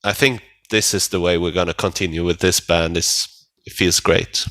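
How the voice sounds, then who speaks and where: A man with a Swedish accent, a native Swedish speaker, chatting casually as an interview guest in halting phrases. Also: sits low, fairly even intonation, sharp tone, dry recording. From Sweden. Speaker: metal band vocalist, interview guest.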